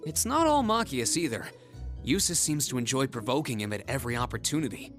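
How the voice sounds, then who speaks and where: A man's voice: mid-range voice, big pitch swings, brisk, a bit bright, faint music behind. A man voicing a thoughtful, level-headed teenage student boy in a video game, mild and reflective.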